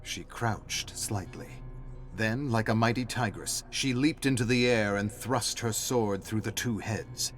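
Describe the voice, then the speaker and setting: A man's voice: fairly low pitch, a little slow, soft background music. A man reading a story as a narrator, in a dramatic scripted style.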